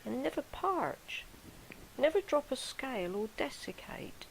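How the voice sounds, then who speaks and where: A wondering, wary mermaid, played by a woman recites verse in a high, creaky voice. The delivery is slow, breathy storytelling, with a faint hiss behind it. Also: full character voice, rough recording. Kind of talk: dramatic poetry reading.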